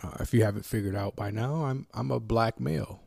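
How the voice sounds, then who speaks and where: A man from the United States hosting a podcast, talking alone in a reflective, halting way with many fillers and restarts. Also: lowish voice, creaky, darkish tone, no room sound, studio quality. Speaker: podcast host. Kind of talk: reflective solo talk.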